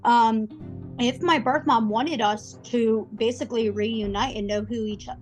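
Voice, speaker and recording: A woman's voice: on the high side, fairly even intonation, measured pace, soft background music, poor audio. A woman in her thirties from Russia, telling her personal story as a podcast guest, chatty with many ums. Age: 30s. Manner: chatty, lots of ums and quoted asides.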